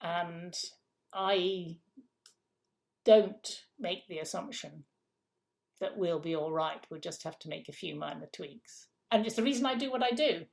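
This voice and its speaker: A woman's voice: on the low side, unhurried, gravelly, a little mellow. An older woman with grandchildren, a guest in an interview, answering in a forthright, emphatic way and often repeating herself as she thinks aloud.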